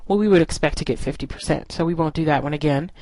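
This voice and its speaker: A woman's voice: low voice, quick pace, mellow, no echo. A woman instructor narrating a lesson in an explanatory, step-by-step way. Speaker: statistics instructor.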